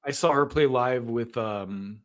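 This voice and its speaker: An easygoing man hosts a casual live interview. His voice sits at a middle pitch, relaxed and conversational. He agrees readily and laughs along with his guest. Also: measured pace. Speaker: podcast host.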